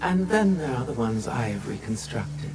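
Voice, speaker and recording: An enigmatic male guide from a video game memory simulation, played by an actor, speaks in a mid-range voice. He is soothing, cryptic and deliberate, with clear music underneath. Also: mid-range voice, very animated, lightly acted. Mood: cryptic, coaxing. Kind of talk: scripted video game narration.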